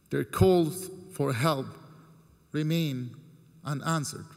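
A man in his thirties from Jordan giving a formal prepared speech from a podium, measured and earnest, with deliberate pauses between phrases. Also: a little high, vocal fry. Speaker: keynote speaker. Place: large ornate hall with seated audience. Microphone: podium microphone. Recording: long hall reverb.

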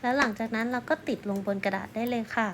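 A woman's voice: a little high, fast talker, slight background noise. A woman art teacher narrating a craft tutorial step by step in a polite, instructional way.

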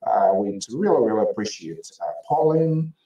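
A man's voice: lowish voice, big pitch swings, moderate pace, warm tone, echoey room, distant mic, lo-fi sound. A man hosting a live talk show, greeting listeners and callers by name with fillers and restarts. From Liberia. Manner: reads names with fillers and restarts. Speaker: talk show host sitting in.

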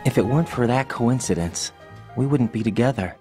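A man's voice: mid-range voice, a little fast, music in the background, close to an everyday voice. A man voicing an earnest, questioning young special forces agent in a video game. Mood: reflective. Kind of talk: video game voice acting over radio call.

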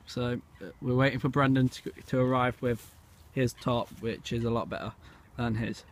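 A man's voice: mid-range voice, level tone, normal speed. A teenage boy vlogging a camping trip outdoors into a handheld phone, rambling with lots of fillers. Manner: rambling, filler-heavy, hushed at night. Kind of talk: casual camping vlog. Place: outdoors, woods by a lake. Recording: phone outdoors with wind noise.

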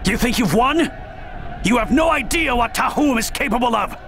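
Menacing game villain: high, wildly swinging pitch, dramatic defiant ranting, music underneath. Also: male voice, animated, normal speed. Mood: defiant menace. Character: defiant, menacing male villain threatening the planet. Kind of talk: video game voice acting.

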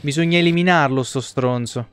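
A man's voice: medium pitch, fairly quick, a bit bright, clear, resonant tone. A young man giving casual live game commentary, muttering and swearing in exasperation to his viewers. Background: video game music.

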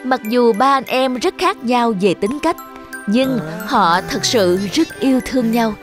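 A woman's voice: fairly high pitch, expressive, unhurried. A woman narrating a scripted children's story as a storyteller. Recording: children's music behind narration. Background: jingly children's music.

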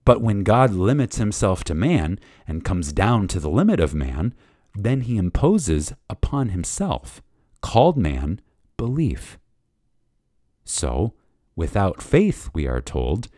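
Low, expressive man reading reverently. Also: dry recording, studio quality. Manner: reverent, emphatic, with deliberate pauses. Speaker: reader of a lecture. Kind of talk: dramatic reading of a spiritual lecture.

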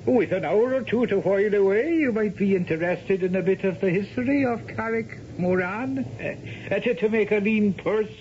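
An actor playing a sly, whimsical old Irish storyteller in a radio drama, with a teasing, folksy lilt. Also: male voice, sits high, moderate pace, a little mellow, lightly acted. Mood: sly, amused. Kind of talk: 1970s radio drama acting. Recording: dull, noisy vintage radio broadcast.

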